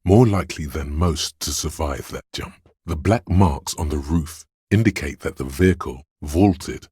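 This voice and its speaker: A man playing the analytical inner detective voice in a game, speaking in a grave, gravelly, deliberate way with a hard-boiled noir delivery. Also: a bass voice, darkish tone, no echo, clean studio sound, full character voice. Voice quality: gravelly, husky. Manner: deliberate, clinical, slipping into noir detective slang.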